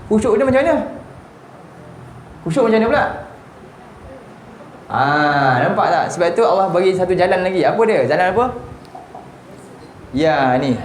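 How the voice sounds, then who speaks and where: A Malaysian man in his forties leads a Quran lesson in a fairly high voice. He keeps turning to his listeners with rhetorical questions as he explains. The room adds noticeable echo, with a faint hum of noise underneath. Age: 40s. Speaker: religious teacher. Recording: roomy with faint background noise.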